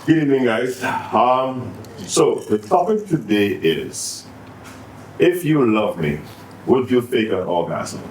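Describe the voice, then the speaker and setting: A man's voice: medium pitch, big pitch swings, slow pace, recorded from a distance. A man hosting a podcast who asks teasing, probing questions in casual chat. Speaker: podcast host. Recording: roomy, off-mic, faint noise.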